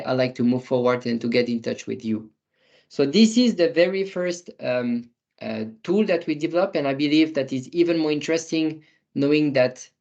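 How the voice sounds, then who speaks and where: A man, a business developer, demoing software in a webinar, speaking haltingly with small grammar slips. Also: a little high, highs cut off. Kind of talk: webinar product demo.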